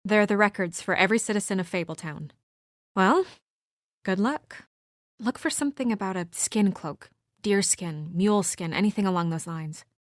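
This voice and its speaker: Actress doing a brisk businesslike young woman game character, kinda exasperated but earnest, normal pitch, very dry recording. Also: dry recording, close to an everyday voice. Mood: brisk, focused. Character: brisk, exasperated young professional woman, dutiful deputy administrator. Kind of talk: video game voice acting.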